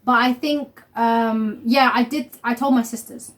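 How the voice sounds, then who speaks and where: Young woman rambling on in a couple vlog at home, lots of ums and laughs, voice a bit high. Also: steady tone, measured pace. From Somali family. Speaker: vlog host. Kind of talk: casual chat.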